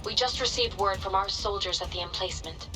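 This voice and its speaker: A woman's voice: medium pitch, brisk, natural voice. Woman playing a composed researcher, distant over music. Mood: calm, focused. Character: composed, diligent female researcher reporting in. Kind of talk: scripted video game cutscene acting.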